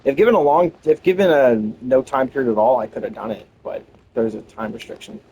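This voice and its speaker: A man's voice: mid-range voice, expressive, brisk, darkish tone, muffled recording, slight background noise. A man giving casual gameplay commentary, rambling and thinking aloud as he goes. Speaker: gamer commenting on own playthrough.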